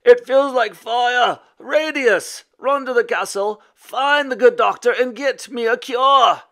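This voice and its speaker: A storybook knight and father, acted out by a man, wailing in pain. The voice is pushed high and sharp, with desperate, drawn-out cries. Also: full character voice.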